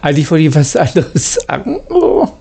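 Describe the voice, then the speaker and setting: A man's voice: fairly high pitch, wildly swooping pitch, moderate pace, creaky, warm tone, breathy edge. A man hosting a gaming channel, giving casual let's play commentary and reading game dialogue aloud.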